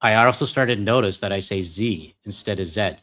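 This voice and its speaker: A middle-aged man with a Canadian accent and a somewhat low voice. He talks in a loose, rambling way, full of fillers and self-deprecating asides, as he co-hosts a live stream. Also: a little fast, pushed and bright. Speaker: co-host reading donations. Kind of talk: casual live-stream chat.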